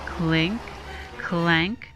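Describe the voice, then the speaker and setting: A woman reading a children's storybook aloud as storyteller, with deliberate, dramatic phrasing. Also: fairly low pitch, noisy background.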